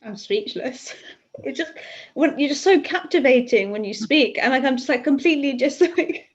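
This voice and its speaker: A young woman hosting a livestream in a chatty, gushing way, with "like" scattered through her sentences. Her voice sits a little high. The sound is dull and lo-fi. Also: lively intonation, moderate pace. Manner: chatty and gushing, many "like" fillers. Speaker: livestream host.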